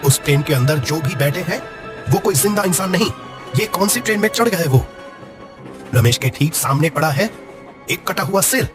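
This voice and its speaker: Animated male horror narrator over music. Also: fairly high pitch, normal speed, pauses often. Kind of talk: dramatic scripted horror story narration.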